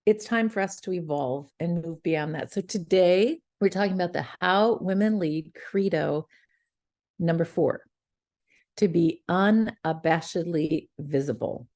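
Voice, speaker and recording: A woman's voice: on the low side, unhurried, a little mellow. A middle-aged woman hosting a podcast, giving an earnest motivational talk straight to her listeners. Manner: earnest, coaching, addresses listener directly. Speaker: podcast host.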